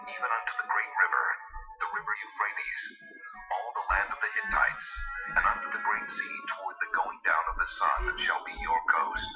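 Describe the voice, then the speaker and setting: A man playing God in dramatized scripture, a solemn, commanding divine voice speaking slowly and majestically. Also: low-pitched. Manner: slow, grave, majestic. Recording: muffled audio with background music.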